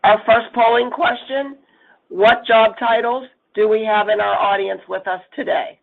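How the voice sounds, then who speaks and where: A middle-aged woman with a raspy voice, hoarse from a cold, giving a webinar presentation as a nursing executive. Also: a little high, fairly even intonation, a little slow. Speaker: chief nursing officer presenting. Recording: phone-line audio.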